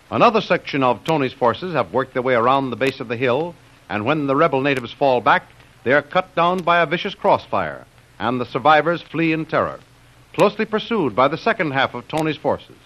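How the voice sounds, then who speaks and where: A man's voice: a little high, fairly bright tone. A man announcing and narrating in a formal, declamatory 1930s radio style, reading dramatic scripted narration. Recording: dull old radio recording.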